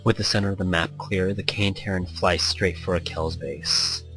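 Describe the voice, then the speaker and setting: A man's voice: sits low, fairly even intonation, normal speed, fairly bright tone. A man hosting a let's play, reading visual novel text aloud in a playful, theatrical way. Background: background music.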